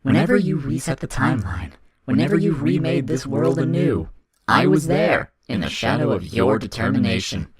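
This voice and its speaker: A man's voice: medium pitch, very animated, over a noisy crowd, pitched above the performer's own voice, natural voice. Man voicing a sinister, swooping demon boy. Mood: haunting, insistent. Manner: formal, deliberate, menacing. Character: sinister otherworldly demon child, boy, eerie and coldly formal.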